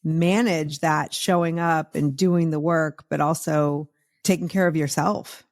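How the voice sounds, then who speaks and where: A woman's voice: low voice, steady tone, unhurried, darkish tone. A woman hosting a conversational interview, speaking in a reflective, agreeable way as she builds on points. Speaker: interviewer, show host.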